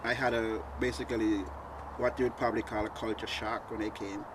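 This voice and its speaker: A man's voice: fairly low pitch, flat delivery, background noise. Laid-back man from Antigua, distant mic. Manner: laid-back, unhurried with pauses. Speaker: interviewee. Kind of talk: documentary interview.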